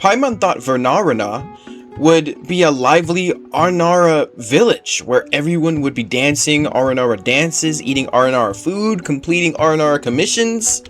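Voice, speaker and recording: A man's voice: on the high side, expressive, unhurried, a bit bright, soft background music. A man voicing a tiny, chatty, childish female fairy companion from a video game, curious and inquisitive. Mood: curious, puzzled. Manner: talks of self in third person, inquisitive.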